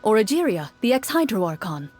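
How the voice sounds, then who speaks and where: A composed young swordswoman running a story game played by a woman. The voice sits at a middle pitch and explains things in measured, formal phrases. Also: very animated, loud music behind the voice, close to an everyday voice. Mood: measured narration. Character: composed, knowledgeable young woman duelist acting as game master. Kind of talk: video game character narrating lore.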